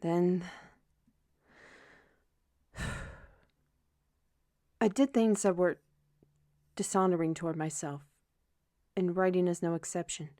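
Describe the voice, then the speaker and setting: A woman's voice: fairly low pitch, fairly even intonation, takes it slowly, long pauses, warm tone, no room sound, clean studio sound. A woman playing a troubled, self-reproaching young writer in an intimate audio drama, speaking in a hesitant, weary way. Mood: anguished.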